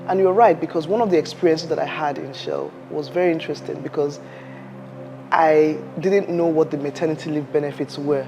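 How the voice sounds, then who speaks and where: A woman's voice: deep voice, a little fast, noisy background. A woman guest telling a story in an interview, acting out the voices of others and laughing as she goes. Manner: animated, acts out quoted voices, laughs while telling.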